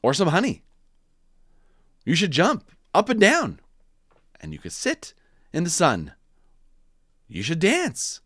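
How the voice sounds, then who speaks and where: A man's voice: on the high side, wildly swooping pitch, takes it slowly, pauses often, fairly bright tone, no echo, studio quality, pitched above the performer's own voice, exaggerated voice. A man voicing pushy, cheerful storybook animals, exuberant and singsong as they insist. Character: crowd of pushy, cheerful animals giving advice.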